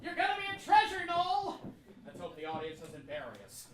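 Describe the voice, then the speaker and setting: A man's voice: very high voice, huge pitch swings, brisk, distant mic, a crowd in the background, heavily acted. A man playing a harried radio show director in a live radio comedy, wry and theatrical. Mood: pressing. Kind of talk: live old-time radio comedy acting. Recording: noisy echoey stage recording from afar.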